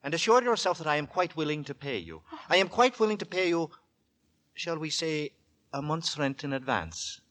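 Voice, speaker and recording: Creepy polite weary lodger, old 1940s radio horror, intense sharp voice, repeats himself, swoops around. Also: male voice, a little high, lively intonation. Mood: intense, distracted. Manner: formal, halting, repeats his words. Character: weary, secretive, courteous gentleman lodger, quietly menacing. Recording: vintage 1940s radio broadcast recording.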